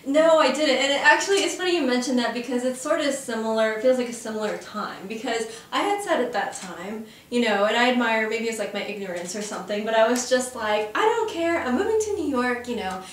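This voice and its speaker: A chatty young woman from Arizona talks through an interview, often pausing on fillers. Her voice is heard from some distance away from the mic. It bounces around a very reverberant office, with faint noise underneath. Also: on the high side, expressive. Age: young adult. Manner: chatty, lots of fillers, laughs easily. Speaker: guest. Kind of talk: casual interview conversation. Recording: very echoey office from far off.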